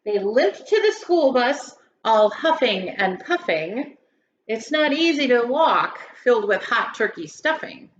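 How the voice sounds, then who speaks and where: High-voiced woman reading a storybook, roomy echo. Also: very animated, measured pace. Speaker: grade school educator reading aloud to pupils. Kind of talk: expressive children's storybook read-aloud. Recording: roomy echo, dull sound, faint noise.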